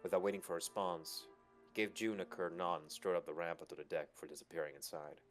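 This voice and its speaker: A man's voice: low-pitched, little pitch movement, fast. A man reading first-person narration from a visual novel as a storyteller. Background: background music.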